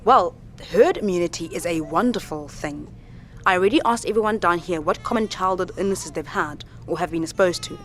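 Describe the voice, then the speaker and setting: An actress playing a calm, practical makeshift medic among survivors, explaining things in a measured, reassuring way in an audio drama. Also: female voice, on the low side, lightly acted. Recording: faint background noise.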